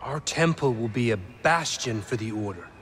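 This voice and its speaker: Sci-fi game lead: actor as assured, earnest male knight-explorer, mid-pitched, impatient streak, faint noise behind. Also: expressive, normal speed, a bit bright, lightly acted. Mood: resolute. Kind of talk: cinematic video game acting.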